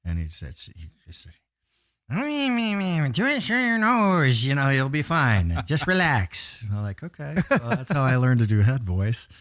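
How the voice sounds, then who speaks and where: A man guesting on a bar podcast, telling rambling stories with a naturally low voice and many fillers. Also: big pitch swings, measured pace, fairly bright tone, dry recording, clean studio sound. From Pacific Northwest US. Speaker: guest singer. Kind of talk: casual podcast chat.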